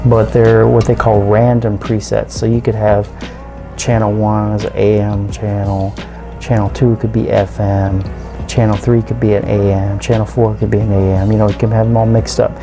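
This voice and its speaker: A man casually demonstrating a product, explaining it step by step in a halting, informal way. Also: on the low side, flat delivery, over music. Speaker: radio reviewer and video host.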